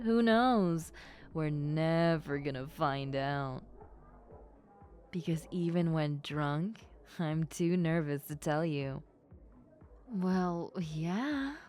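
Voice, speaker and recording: A woman playing a slow, tipsy, teasing schoolgirl. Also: deep voice, darkish tone. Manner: drawn-out, hesitant, coy, frequent giggling. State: acting drunk. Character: tipsy, giggly, teasing popular schoolgirl confessing shyly. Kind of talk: intimate roleplay monologue to the listener.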